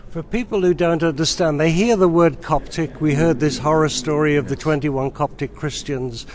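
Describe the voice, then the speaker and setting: A man's voice: a little high, moderate pace. A man from Nigeria hosting an interview, asking friendly questions and answering with frequent "yeah" backchannels. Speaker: interview host. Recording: faint background noise.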